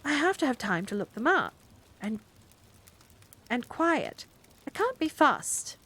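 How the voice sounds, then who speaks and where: A woman's voice: high voice, big pitch swings, slow pace, a bit bright, pitched above the performer's own voice, heavily acted. A woman voicing a prim, easily flustered middle-aged witch who snaps when pressed.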